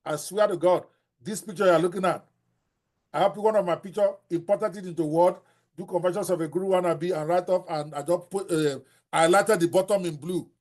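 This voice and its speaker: An older Nigerian man with a Yoruba accent, teaching a book-writing class in a blunt, animated way and dropping in Yoruba phrases. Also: sits high, a little fast. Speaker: host.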